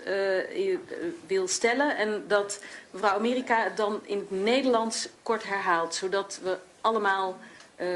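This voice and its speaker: A woman's voice: mid-range voice, a bit bright, slight background noise, low-quality recording. Firm woman chairing a debate. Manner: firm, with many hesitations. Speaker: chair of the session.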